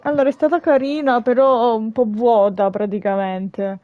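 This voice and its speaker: A woman's voice: a little high, fairly even intonation, moderate pace, dry recording, narrow, tinny audio. A woman live-streaming a game and commenting casually into a close microphone.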